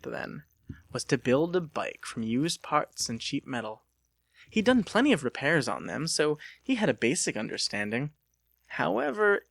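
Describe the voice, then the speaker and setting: Male audiobook narrator, mid-range pitch, dry recording, dramatic storytelling delivery. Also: gravelly, a bit bright, no room sound.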